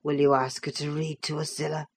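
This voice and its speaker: A woman voicing a shy, rough young country man in an audiobook, awkward and easily wounded, in a gruff, halting manner. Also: close to an everyday voice. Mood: bashful pleading. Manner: low, gruff, bashful, halting. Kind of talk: dramatised audiobook reading of a classic novel.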